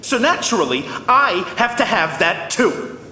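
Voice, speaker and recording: An actor playing a spoiled, pressured teenage boy, indignant and petulant, in an animated drama. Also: sits high, a little slow, exaggerated voice. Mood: frustrated, hurt. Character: spoiled, pressured teenage son of a powerful boss. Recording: echoey hall with faint noise.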